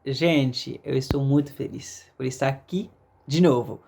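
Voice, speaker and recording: A man from Haiti with a Haitian accent, a native Haitian Creole speaker, teaching a casual language lesson in a halting way with frequent check-in tags. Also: mid-range voice, gravelly, a little mellow, a little hiss or hum.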